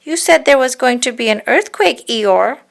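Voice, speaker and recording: A woman voicing a bouncy, excitable male tiger in a children's storybook, with emphatic, exclamatory delivery. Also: a little high, moderate pace, creaky, pitched above the performer's own voice. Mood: accusing.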